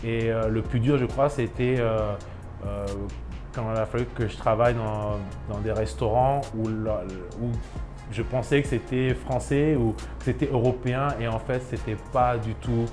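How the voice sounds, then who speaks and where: A man's voice: mid-range voice, steady tone, normal speed, over music. A man in his thirties from Ivory Coast, a chef and restaurant owner, speaking in a documentary interview with many hesitations and restarts.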